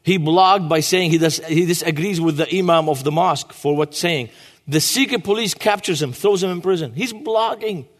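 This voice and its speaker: Guest preacher, man in his 40s, high voice, long church reverb. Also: moderate pace. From Lebanon. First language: Arabic. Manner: emphatic, repeats key phrases. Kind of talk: sermon.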